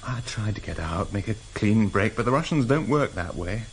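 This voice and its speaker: An actor playing a guilt-ridden, hunted husband in a vintage radio drama, halting and defensive. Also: male voice, lowish voice, expressive, close to an everyday voice. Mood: despairing. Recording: noisy vintage radio recording.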